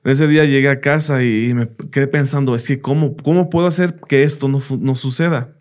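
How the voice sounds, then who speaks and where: A man's voice: mid-range voice, steady tone, fairly quick, a little mellow. A man whose first language is English, a teacher and podcast guest, telling anecdotes in a casual interview, often saying "bla, bla" and "¿me entiendes?".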